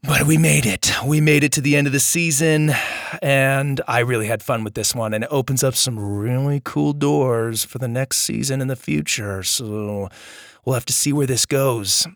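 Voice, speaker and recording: A man's voice: medium pitch, fairly even intonation, normal speed, creaky, no echo. Man with strained voice, chatty and dry-miked. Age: adult, father of young children. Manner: enthusiastic, chatty, informal. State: strained voice, recovering from nearly losing it. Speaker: host and storyteller of a children's podcast. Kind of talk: casual podcast outro chat to young listeners.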